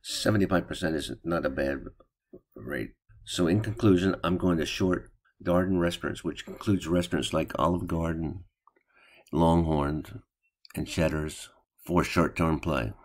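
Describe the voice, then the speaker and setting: An older man hosting his stock trading channel, talking through a screen-share in a plain, unhurried way with some restarts. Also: sits low. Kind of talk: casual screen-share commentary. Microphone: voice-over on screen recording.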